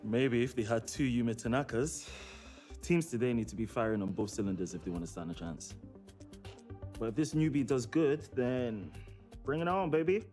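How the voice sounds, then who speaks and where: A man's voice: medium pitch, lively intonation, moderate pace, darkish tone, soft background music, natural voice. A man playing a brash, competitive racing driver, talking informally in a documentary-style interview. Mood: confident. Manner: informal, cocksure. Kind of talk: mock documentary interview acting.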